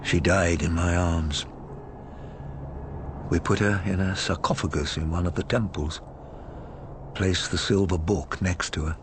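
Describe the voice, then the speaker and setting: A man's voice: low-pitched, fairly even intonation, a little slow, long pauses, background noise. An actor playing a world-weary former space captain in a radio drama, confiding his regrets in a heavy, reflective way. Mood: haunted, mournful.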